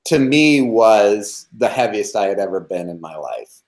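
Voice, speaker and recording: A man's voice: fairly low pitch, big pitch swings, a little slow, darkish tone. A man around fifty, a podcast guest, candidly telling his own story with self-deprecating humor and emphatic repetitions.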